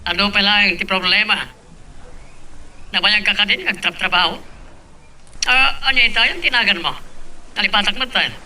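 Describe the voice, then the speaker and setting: Guy playing polite confident rich young businessman, highish sharp creaky voice, bit distant from the mic, audio drama. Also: normal speed, pauses often. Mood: courteous, curious. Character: courteous, self-assured rich young businessman.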